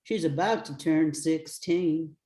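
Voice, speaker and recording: Older woman as slow scrappy grandma, echoey room. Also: low voice, warm tone. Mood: fond. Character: scrappy Cajun grandmother in her fifties. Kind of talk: amateur radio drama reading.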